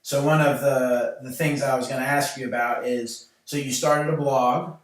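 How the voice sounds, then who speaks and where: A man's voice: mid-range voice, steady tone, normal speed. A man, hosting a podcast in loose, rambling casual chat full of fillers and restarts. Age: late 20s. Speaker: podcast host. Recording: echoey room, mic far off.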